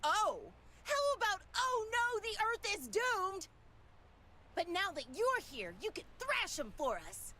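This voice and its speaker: A woman's voice: extremely high pitch, very animated, slow, bright, forceful tone, full character voice. A woman voicing a brash, hot-tempered, sarcastic woman in an anime video game, with an exclamatory, sassy manner. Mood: exasperated, alarmed.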